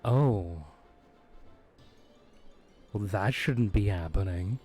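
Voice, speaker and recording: Slow, hoarse-voiced male streamer. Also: on the low side, darkish tone, soft background music. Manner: laid-back, rambling, chuckles often. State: hoarse, losing his voice. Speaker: streamer playing a video game. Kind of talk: casual live-stream chat. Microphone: close desk microphone.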